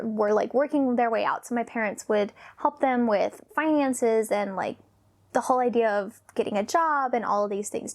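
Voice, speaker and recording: Brief: woman, 30s, a touch high, nervous and hesitant, confessional vlog. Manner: halting, many pauses and sighs, sometimes drops to a whisper. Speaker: vlogger. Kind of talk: personal confessional talk.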